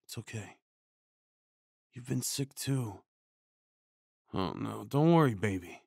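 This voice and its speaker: A man plays a tender, worried boyfriend in an ASMR comfort roleplay. His voice is husky and hushed, and he takes his time with each soothing phrase. The recording is close and dry. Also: lowish voice, expressive, slow pace, no echo. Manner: hushed, soothing, breathy sighs and shushing. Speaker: ASMR audio roleplay performer.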